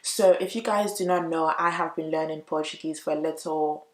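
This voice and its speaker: A woman who speaks English natively, chatting casually to viewers as she explains language points, expressive and emphatic, repeating words for emphasis. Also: sits low, normal speed. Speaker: YouTube language vlogger. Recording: roomy, echoey room.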